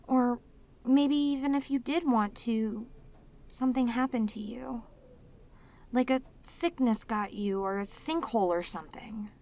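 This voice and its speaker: A woman voicing a lonely, clingy, sweet female demon in an ASMR audio roleplay, with an earnest, halting, pleading manner. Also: a little high, mellow. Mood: anxious, wistful.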